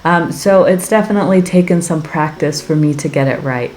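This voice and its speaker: A woman with a slightly raspy voice from being sick, chatting enthusiastically as she narrates a casual craft tutorial. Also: low voice, brisk, darkish tone, slight background noise. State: getting over a weekend sickness. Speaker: craft channel host. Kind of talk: casual tutorial narration over process video.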